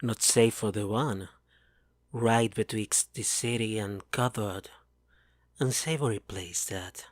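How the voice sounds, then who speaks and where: A man voicing a gruff male dwarf who makes plain, wry remarks. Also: lowish voice, slow pace, dry recording, studio quality. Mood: dry. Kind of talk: fan audio drama roleplay.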